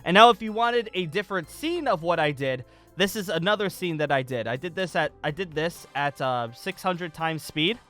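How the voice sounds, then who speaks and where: A young man giving a casual, enthusiastic video tutorial, excitable and emphatic, often repeating himself. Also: fairly high pitch, moderate pace, hardly any pauses, soft background music. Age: young adult.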